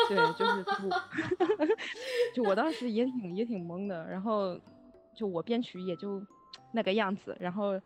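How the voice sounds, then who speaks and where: A woman's voice: medium pitch, animated, measured pace, pauses often. Hesitant, modest woman chatting over music. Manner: hesitant, modest, repeats words. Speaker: music arranger for an audio drama. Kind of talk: casual chat.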